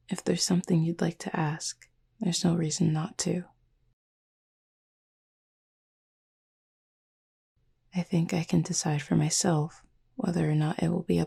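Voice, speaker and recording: A woman performs a calm cupid demon roommate in a soft roleplay audio meant for sleep. The voice is low, very husky and breathy, and stays quite even. The speech is formal and measured, with a gentle teasing streak. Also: heavily acted. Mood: gently curious.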